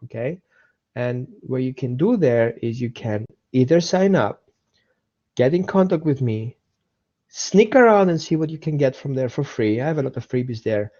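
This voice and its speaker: A man's voice: mid-range voice, lively intonation, moderate pace, darkish tone, muffled recording. A man with an Austrian accent hosting a casual live dog-training webinar, chatting with viewers, repeating himself and greeting people by name.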